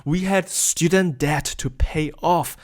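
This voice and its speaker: A man's voice: fairly high pitch, steady tone, slow. Upbeat salesy man from South Korea. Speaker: webinar host. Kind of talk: scripted sales pitch.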